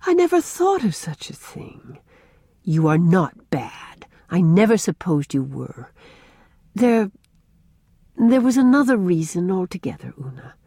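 A woman voicing a kind, gentle young woman who speaks softly and reassuringly. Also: on the low side, very animated, a little slow, breathy edge, dry recording, clean studio sound, exaggerated voice. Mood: tender. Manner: soft, tender, reassuring. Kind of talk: audiobook character reading.

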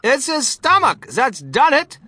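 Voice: high-pitched, wildly swooping pitch, measured pace, noisy background, voice pushed up, exaggerated voice. A reader voicing a grumbling, unhelpful father in a children's storybook. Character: Mister Gloop, grumbling unhelpful storybook father, adult man.

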